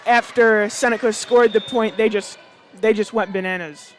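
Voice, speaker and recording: High-voiced enthusiastic color commentator. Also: male voice, steady tone, normal speed, noisy background. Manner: enthusiastic, chatty. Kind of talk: casual sports color commentary.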